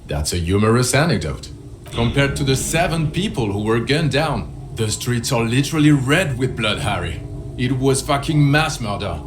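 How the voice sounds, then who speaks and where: An actor playing a stern, skeptical senior police officer with a blunt, sarcastic manner in a video game. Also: male voice, mid-range voice, animated, normal speed, noisy background, close to an everyday voice. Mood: scornful.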